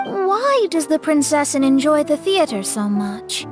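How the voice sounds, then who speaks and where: A woman's voice: sits high, big pitch swings, unhurried, over music. A woman playing a haughty, grandiose girl in a video game, with pompous, formal diction. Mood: serious, questioning. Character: haughty theatrical girl, grandiose self-styled fantasy princess.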